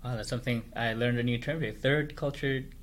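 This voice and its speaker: A man's voice: medium pitch, steady tone, a little fast, slight background noise. A man from South Korea who moved to Hong Kong and Canada as a child, hosting a casual conversational interview. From South Korea, Hong Kong, then Canada from childhood. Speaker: podcast host, interviewer.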